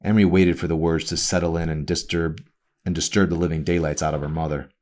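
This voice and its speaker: A man reading a novel aloud as its author, in a brooding, dramatic narration style with deliberate phrasing. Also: a bass voice, level tone, a little mellow.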